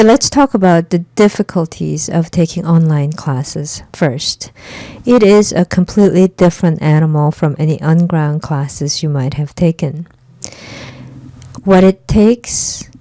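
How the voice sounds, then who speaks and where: A woman whose first language is Korean talks through an online course introduction in an easygoing, conversational way, often repeating words for emphasis. Her voice sits low and mellow. The recording is close and dry, with no room sound. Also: no echo. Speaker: instructor. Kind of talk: online lecture.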